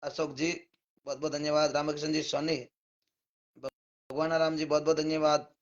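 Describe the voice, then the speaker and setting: A hoarse man with a Rajasthani accent, a devotional singer hosting a live stream, casually greeting and thanking viewers one by one by name. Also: a little high, little pitch movement, normal speed, lo-fi sound.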